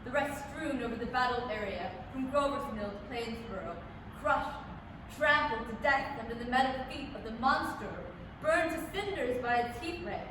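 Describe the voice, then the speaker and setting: A woman's voice: on the high side, lightly acted. A woman playing a grave, formal radio news announcer in a staged drama reading. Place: library hall, staged reading, far microphone. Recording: very echoey noisy hall from far off.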